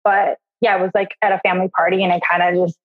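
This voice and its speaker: A woman's voice: fairly low pitch, level tone, racing, phone-quality sound. A woman in her thirties from the Midwest, a podcast guest telling her story in a chatty way, with lots of "like" and "um" and frequent laughs. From US Midwest, Milwaukee. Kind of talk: casual personal storytelling.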